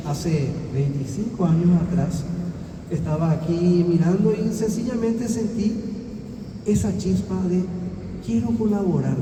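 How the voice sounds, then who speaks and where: A man's voice: fairly high pitch, dark tone, slight background noise. A man from Paraguay giving an encouraging talk to students in a large hall, asking rhetorical questions. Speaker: scientist speaking at a science festival. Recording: echoey hall from far off.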